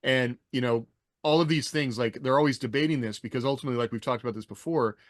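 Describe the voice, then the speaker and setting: A man's voice: mid-range voice, fast, no echo. A man with a mid-range voice, recorded dry. He explains things casually and takes a roundabout path through each thought, as in a panel discussion. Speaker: podcast panelist, former army officer.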